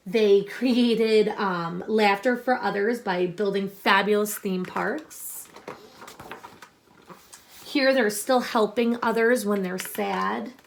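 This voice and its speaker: Slow-paced woman reading aloud to children. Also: medium pitch, creaky, a little hiss or hum. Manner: deliberate, instructive, addressing young listeners with questions. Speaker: teacher reading a picture book aloud to children. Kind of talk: expressive storybook read-aloud with explanatory asides to children.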